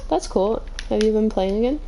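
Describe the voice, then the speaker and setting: Woman game streamer, slightly hoarse and scratchy, calm and low, dark gravelly tone. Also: normal speed. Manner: calm, kept in her lower range. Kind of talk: casual gaming stream chat.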